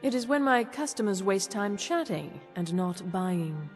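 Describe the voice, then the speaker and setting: A woman playing a haughty, sarcastic clothing shopkeeper in a video game, with disdainful, drawn-out delivery. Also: mid-range voice, lively intonation, natural voice. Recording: long reverb, faint music behind.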